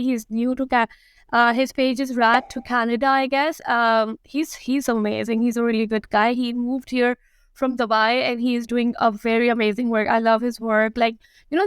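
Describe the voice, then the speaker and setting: A woman in her thirties with a Pakistani accent, chatting casually as an interview guest in a halting way, repeating phrases for emphasis. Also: a little high, smooth, no room sound. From Karachi, Pakistan. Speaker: guest, food and lifestyle blogger.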